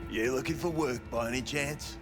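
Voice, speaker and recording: A man's voice: mid-range voice, moderate pace, over music, natural voice. An actor playing a sleazy, cynical male brothel manager in a cyberpunk video game, talking in crude, dismissive slang. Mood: wry, defensive. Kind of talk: video game dialogue acting.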